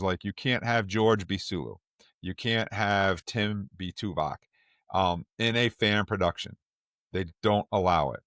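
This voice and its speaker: Male podcast host, lowish voice, emphatic casual argument, very little room sound. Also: fairly bright tone, no room sound, studio quality. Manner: emphatic, insistent. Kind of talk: casual opinionated fan discussion.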